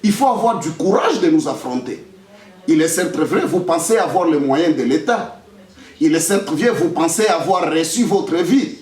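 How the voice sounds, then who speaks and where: High-pitched, animated young Congolese man, echoey. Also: normal speed. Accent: Congolese French. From Democratic Republic of the Congo. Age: young adult. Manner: emphatic, defiant, repeats phrases for effect. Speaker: lawyer and political candidate. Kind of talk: press statement answering accusations. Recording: echoey room, distant microphone.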